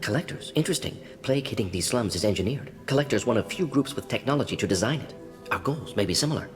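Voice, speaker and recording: An actor playing a male alien scientist who talks in quick clipped fragments and drops his articles. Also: mid-range voice, animated, music in the background, natural voice. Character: fast-talking male alien scientist, twitchy. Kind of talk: video game voice acting.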